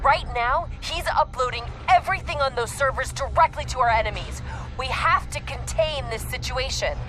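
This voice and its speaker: A commanding field operative with a fairly high voice. She is clipped and authoritative in game-cutscene style, over loud dramatic music. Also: expressive, normal speed. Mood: focused, firm. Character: urgent, commanding female intelligence operative guiding soldiers. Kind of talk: dramatic video game acting.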